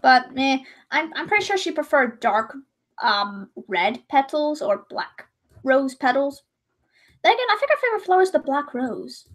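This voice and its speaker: High-voiced young woman rambling on stream. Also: very animated, moderate pace, fairly bright tone. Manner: rambling, self-correcting, chatty. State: voice gone high and strained. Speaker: livestreamer. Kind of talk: casual chat while drawing.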